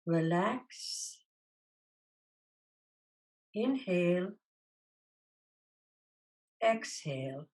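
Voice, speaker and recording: A woman leading a guided meditation as a teacher, gently instructing in a soothing, unhurried way with long pauses. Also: lowish voice, sing-song, rough recording.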